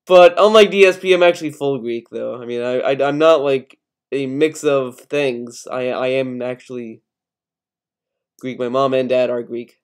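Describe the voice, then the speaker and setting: A man's voice: medium pitch, steady tone, measured pace, pauses often, clear, resonant tone. A man with an American accent from Connecticut, ranting casually as a YouTuber in a profane, rambling, defensive way. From Connecticut, USA. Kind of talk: casual ranting vlog commentary.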